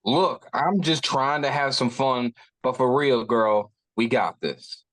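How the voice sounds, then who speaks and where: A man's voice: medium pitch, slow, a bit bright, dry recording. A man reading the part of a cocky, goofy stoner gunman who rambles and jokes his way through excuses. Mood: cocky, easygoing. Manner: rambling, joking, breaks into chuckles. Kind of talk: casual table read of a script.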